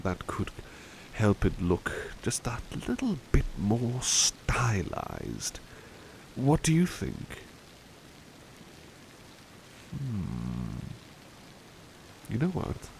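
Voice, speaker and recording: A man's voice: lowish voice, huge pitch swings, takes it slowly, exaggerated voice. A man playing a devoted, mild-mannered butler in an ASMR roleplay, speaking politely and formally, with hesitant, musing phrasing. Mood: musing, gentle. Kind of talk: ASMR roleplay, personal attention. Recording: clearly noisy ambience behind voice.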